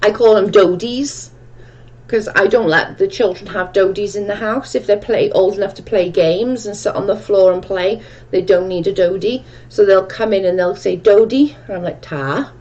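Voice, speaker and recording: A woman's voice: medium pitch, normal speed, darkish tone, muffled recording. A woman with a strong Welsh accent hosting a casual livestream chat, reading viewer comments aloud and answering them in a chatty, rambling way. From Wales. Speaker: livestream host.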